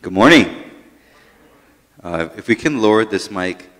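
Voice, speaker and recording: A man in his thirties with a loud voice opens a sermon on a handheld mic, casual and jokey, then reads scripture and prays. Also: lowish voice, expressive, unhurried. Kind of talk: sermon opening and scripture reading. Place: church sanctuary stage. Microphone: handheld microphone. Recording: long church hall reverb.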